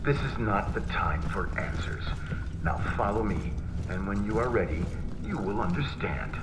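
A man's voice: on the low side, expressive, moderate pace, natural voice. An actor playing a cryptic, fanatical male cult guide in a horror video game, solemn, imperious and formal in his riddles. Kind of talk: theatrical video game cutscene acting. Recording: echoey space with clear background noise.

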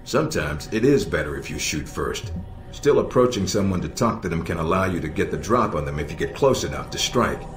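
An actor playing a calm, sardonic middle-aged spy handler in a video game, measured and dryly blunt. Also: male voice, low-pitched. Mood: measured, instructive. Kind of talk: video game cutscene acting. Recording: roomy echo with music behind.

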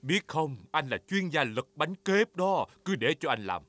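A man voicing a jolly, boastful father pig in a children's cartoon dub, with playful, drawn-out delivery. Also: a little high, very animated, a bit bright, dry recording.

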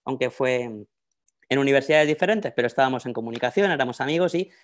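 A man's voice: mid-range voice, fast talker. A middle-aged man with a Madrid Spanish accent, giving an informal academic talk in a conversational way with frequent tag questions, over a video call on an earphone mic. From Madrid, Spain. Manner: conversational, often tagging phrases with a questioning "¿no?". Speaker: academic panelist, researcher. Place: indoors in a book-lined room, on a video call. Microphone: wired earphone headset on a video call.

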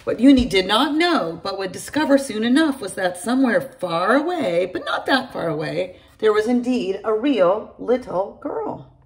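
A middle-aged woman reading a children's picture book aloud to her class, in an animated storytelling manner, recorded on a close phone camera in a large room at home. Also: medium pitch, normal speed, hardly any pauses, poor audio. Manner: animated storytelling, addresses children by name, gravelly edge. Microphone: phone or laptop camera at close range.

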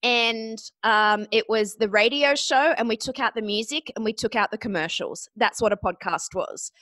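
An Australian woman speaking as a guest on a podcast, bubbly and upbeat. Her voice sits fairly high and sounds dry and close, with no room echo. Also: normal speed, a bit bright. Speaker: podcast guest, media expert. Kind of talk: casual interview chat.

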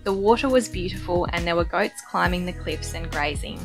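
A woman reading a calm, matter-of-fact voice-over narration for a sailing vlog. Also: lowish voice, brisk, creaky. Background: background music.

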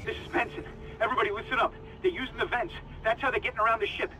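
Panicked, very high-voiced crewman. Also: very fast, background noise. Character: panicked male crewman warning others over comms. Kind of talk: video game acting.

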